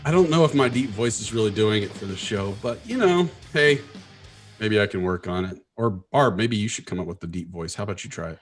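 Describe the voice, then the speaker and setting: A man's voice: fairly low pitch, lively intonation, brisk, soft background music. A man co-hosting a casual podcast, teasing his co-host and joking around. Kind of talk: casual banter.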